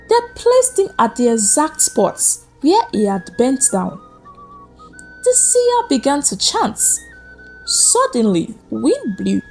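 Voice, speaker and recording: Slow, high-pitched woman telling a dramatic folktale. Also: rough recording. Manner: suspenseful, deliberate phrasing. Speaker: storyteller. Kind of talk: dramatic scripted folktale narration. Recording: music behind the voice. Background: background music.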